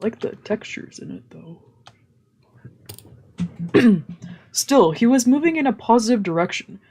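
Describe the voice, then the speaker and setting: Mellow, husky woman reading a story aloud. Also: on the high side, animated, a little slow. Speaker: streamer reading a visual novel aloud. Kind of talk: dramatic let's play read-aloud with casual asides. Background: game music.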